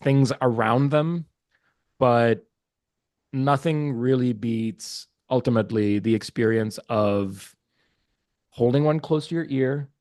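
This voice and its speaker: A man's voice: medium pitch, takes it slowly, a little mellow, dry recording, clean studio sound. A man from Pakistan whose first language is Urdu, a podcast guest talking casually and earnestly, with many fillers and restarts. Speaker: guest, watch market research business developer. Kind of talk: casual interview chat.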